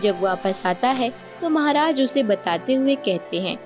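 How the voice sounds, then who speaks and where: A woman's voice: on the high side, expressive, measured pace. A woman narrating a scripted cartoon story. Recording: music under the voice. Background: background music.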